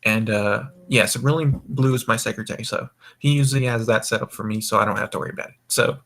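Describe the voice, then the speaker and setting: A man's voice: mid-range voice, fast, vocal fry, a bit bright. Rambling man hosting a voice call. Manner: rambling, with frequent restarts and stammered repeats. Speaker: voice call host. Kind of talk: casual voice-chat podcast.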